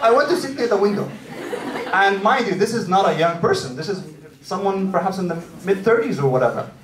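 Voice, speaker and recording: A man's voice: sits high, lively intonation, fairly quick. A young man from Malaysia with an Egyptian background, telling stand-up stories conversationally and acting out the exchanges he quotes. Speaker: stand-up comedian. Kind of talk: live stand-up comedy storytelling. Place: indoor venue before a live audience. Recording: roomy live hall, far mic, loud crowd.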